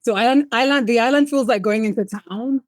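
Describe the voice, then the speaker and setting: A woman from Nigeria, a guest on a podcast, talking in a relaxed, reflective way with frequent restarts. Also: fairly high pitch, a little fast, dry recording, studio quality. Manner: warm, reflective, searching for words with restarts. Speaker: guest, writer and poet. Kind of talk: casual conversational interview. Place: home in Lagos, remote call.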